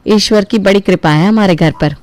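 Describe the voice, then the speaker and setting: A woman acts as a kindly elder sister-in-law in a family cartoon story. She is trusting and effusive, full of affection and blessings, recorded very dry at an ordinary female pitch. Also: brisk, darkish tone, no echo, close to an everyday voice. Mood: humble, moved.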